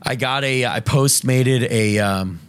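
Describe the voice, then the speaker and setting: A man's voice: mid-range voice, slow pace, clean tone. A man co-hosting a podcast, chatting casually and telling loose, profane stories full of fillers. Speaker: podcast co-host. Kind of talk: casual chat.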